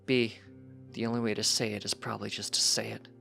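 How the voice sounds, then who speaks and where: A calm, loving father pony, voiced by a man, soft-spoken and fairly low. He sounds gentle and resigned, a storybook parent with music behind him. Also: fast talker, gravelly, exaggerated voice. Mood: quiet resignation.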